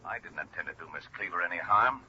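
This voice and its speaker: A man's voice: deep voice, lively intonation, quick pace, breathy edge, lo-fi sound, exaggerated voice. An American man playing a calculating, formal crooked lawyer in a 1950s radio crime drama, insistent, heard over a telephone filter. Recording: noisy, sharp vintage radio phone voice.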